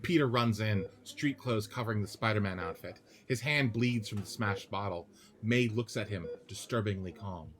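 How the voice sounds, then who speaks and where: A man's voice: fairly low pitch, moderate pace. A man reading stage directions for a radio-play-style script. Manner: steady, clipped direction reading.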